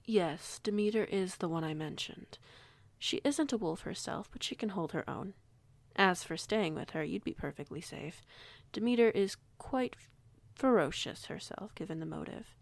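Woman acting low, creaky, assured female pack alpha. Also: expressive, no room sound. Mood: calm, firm. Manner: measured, reassuring, gently authoritative. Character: young female werewolf pack alpha, kindly, assured, protective. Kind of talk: audio roleplay acting.

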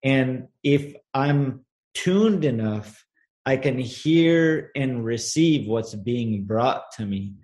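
A man's voice: mid-range voice, animated, extremely slow, darkish tone. Earnest, reflective man from Los Angeles. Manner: earnest, reflective, spiritual musing. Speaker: guest, brand co-founder. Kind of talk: casual conversation.

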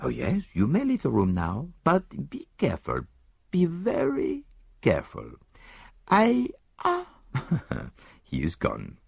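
A man's voice: on the low side, huge pitch swings, moderate pace, gravelly, husky, no echo, studio quality, voice pushed up, full character voice. A man voicing a fussy, precise older male detective, mildly reproving and fond of rhetorical questions. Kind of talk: audiobook character voice.

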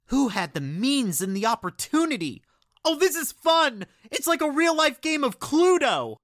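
A woman playing an excitable, shrunken shapeshifter character in an audio drama. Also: high-pitched, moderate pace, dry recording, clean studio sound. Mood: gleeful.

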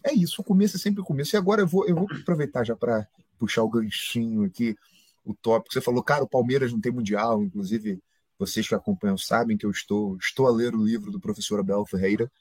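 A man co-hosting a casual football podcast live, excitable and swearing a lot as he talks. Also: mid-range voice, fast, husky, no room sound. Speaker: podcast co-host. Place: home studio, live stream.

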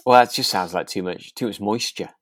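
A woman's voice: very deep voice, a little fast, no echo, studio quality. A woman co-hosting a podcast, chatting casually about a drama in a hesitant, giggly way, thinking aloud. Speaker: podcast co-host. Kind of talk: casual chat reviewing a radio drama.

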